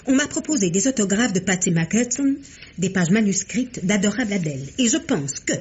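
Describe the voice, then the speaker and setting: A woman's voice: mid-range voice, expressive, moderate pace, natural voice. An actress playing a chatty, scheming woman who has inherited a couture house, in an old French radio drama, gossipy and conspiratorial with frequent giggles.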